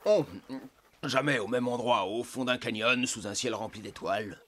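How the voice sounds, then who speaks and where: A man voicing an easygoing young wild horse in an animated series, relaxed and persuasive, proud of a free life. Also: medium pitch, moderate pace, slight background noise, lightly acted. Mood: dreamy, proud. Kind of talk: animated series voice acting, French dub.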